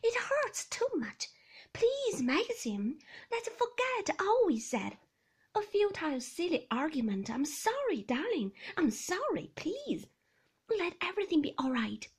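A woman's voice: very high-pitched, sing-song, a little slow, voice pushed up, exaggerated voice. A woman reading an anxious young wife. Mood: pleading. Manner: pleading, emotional.

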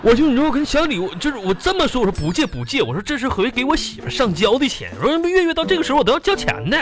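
A man's voice: very high voice, very animated, very fast, music in the background. A man playing a grumbling, evasive husband in a live radio comedy skit, rambling and whining in a Northeastern Chinese Mandarin accent. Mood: aggrieved storytelling. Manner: whiny, rambling, colloquial exaggeration. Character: grumbling, evasive husband spinning excuses to his wife. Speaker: radio comedy host and performer.

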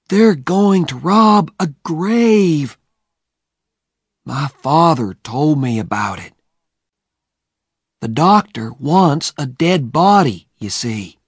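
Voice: medium pitch, lively intonation, drawn-out words, long pauses, slightly rough, no room sound, studio quality, voice pushed up, full character voice. A reader voicing a frightened young boy who whispers anxiously in a graveyard. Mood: urgent explaining. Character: frightened boy Huck, jittery.

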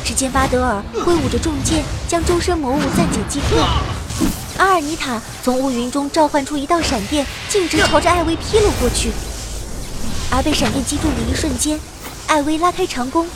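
A woman playing a timid young adventurer girl, narrating a battle in first person with expressive radio drama delivery. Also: high voice, fairly quick, over loud music, natural voice. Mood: tense storytelling. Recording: noisy battle effects behind.

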